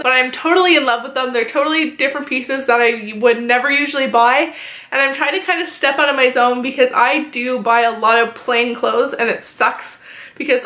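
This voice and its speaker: Young woman, in her twenties, stuffy nose, chatty and upbeat, high voice, echoey bedroom. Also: bright, forceful tone. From Canada. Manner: chatty, upbeat, uses "like" and "kind of" a lot. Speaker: vlogger. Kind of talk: casual haul vlog. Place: small bedroom, indoors.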